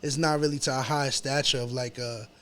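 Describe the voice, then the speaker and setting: A man's voice: medium pitch, steady tone, a little fast, fairly bright tone, a little hiss or hum. A man in his early thirties from New York, with a New York accent, chatting casually as a rapper being interviewed, slangy and peppering his talk with "you know". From Chelsea, Manhattan, New York, USA.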